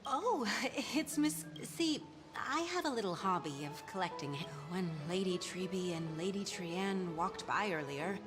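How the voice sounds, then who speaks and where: A polite, flustered woman collector in a game, played by an actress, speaks slowly and hesitantly. Her courteous voice rises and dips expressively over loud music. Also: mid-range voice, expressive, lightly acted. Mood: sheepish. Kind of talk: video game dialogue acting.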